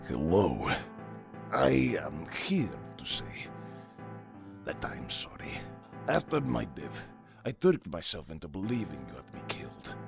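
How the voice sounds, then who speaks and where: A tormented, guilt-ridden man from a visual novel game. The voice is low and creaky with extremely dramatic swings. The delivery is halting and confessional, over clear music. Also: very animated, full character voice. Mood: bitter confession.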